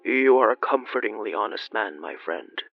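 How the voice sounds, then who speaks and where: A narrator voicing a grim, measured, wryly amused older man in a horror audio drama. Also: medium pitch, lively intonation, creaky, dry recording, narrow, tinny audio, voice pushed down. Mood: smugly genial. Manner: measured, grimly jovial. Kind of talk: audio drama character dialogue. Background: music.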